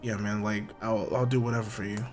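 A man's voice: lowish voice, flat delivery, fast talker, faint music behind, lightly acted. A man improvising a comedic game fandub as an earnest teenage boy, urgent, slangy and prone to repeating himself. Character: earnest, excitable teenage boy, loyal sidekick.

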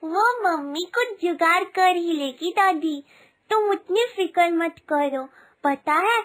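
A woman's voice: very high-pitched, a bit bright, full character voice. A woman voicing a kind, innocent little village girl in a cartoon, with an earnest manner. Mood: kind, reassuring. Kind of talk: Hindi cartoon moral story acting. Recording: music behind the voice.

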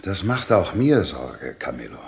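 Expressive low-voiced troubled king. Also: a little slow, creaky, exaggerated voice. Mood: worried, scheming. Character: commanding, troubled middle-aged king and worried father. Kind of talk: 1960s German radio drama acting.

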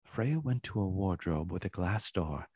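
A narrator with a husky voice, reading a literary tale as scripted narration in a radio play. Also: low voice, expressive, unhurried, a little mellow, dry recording, studio quality, heavily acted. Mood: measured.

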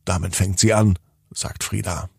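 Male children's storyteller: slow, playful bedtime narration, husky tone, very dry sound, dramatic pauses. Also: low voice, steady tone, no room sound. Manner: deliberate, playful, with drawn-out words and dramatic pauses.

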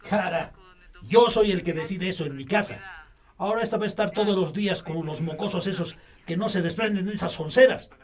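A man's voice: sits high, fairly quick, far from the mic, close to an everyday voice. A man playing a controlling, scolding Bolivian father in a radio drama. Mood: domineering, angry. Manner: domineering, scolding. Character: gruff, domineering Bolivian father, hostile and controlling.

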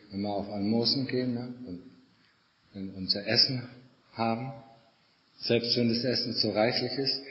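Middle-aged male monk for informal spiritual teaching, conversational and repetitive for emphasis, lowish voice, muffled recording. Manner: conversational, repeats phrases for emphasis. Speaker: Buddhist monk and meditation teacher. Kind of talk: informal spoken dharma talk. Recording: echoey hall, long reverb, muffled sound.

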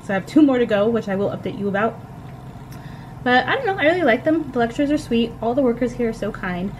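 A woman's voice: fairly high pitch, animated, fast talker, a little hiss or hum. A young woman in her twenties giving a casual, upbeat vlog update to the camera from a small dorm room. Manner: upbeat, chatty, says "really" often. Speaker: vlogger, new English teacher.

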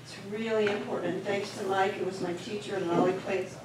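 A woman's voice: mid-range voice, steady tone, brisk. Older woman from Northern Kentucky, friendly and rambling, distant in an echoey hall with room noise. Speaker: first-time attendee. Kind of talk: informal remarks at a meeting. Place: large meeting hall indoors. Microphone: handheld mic, recorded from far camera.

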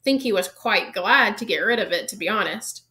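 A woman's voice: mid-range voice, expressive, normal speed, bright, forceful tone. A woman reading the part of a big, friendly, proud male gamekeeper with a folksy, informal way of talking in a storybook. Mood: excited, proud. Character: big bearded gamekeeper, man, proud, friendly, easily flattered. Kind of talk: audiobook character reading.

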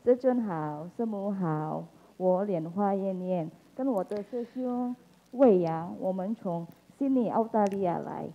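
A woman's voice: medium pitch, measured pace, dull audio. A woman giving a short, hesitant self-introduction on a passed microphone. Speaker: visiting devotee.

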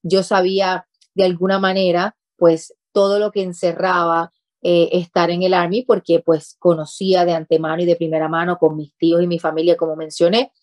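Earnest Puerto Rican woman, low dry voice. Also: level tone, normal speed, darkish tone, no echo. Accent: Puerto Rican Spanish. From Puerto Rico. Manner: earnest, speaks with emphasis and repetition. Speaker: interview guest, journalist. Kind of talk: talk show interview. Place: small studio office set.

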